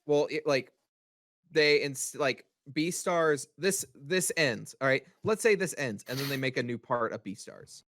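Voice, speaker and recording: A man's voice: mid-range voice, lively intonation, measured pace, fairly bright tone, dry recording. Casual man with a close dry sound. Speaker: podcast co-host. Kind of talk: casual panel chat about manga.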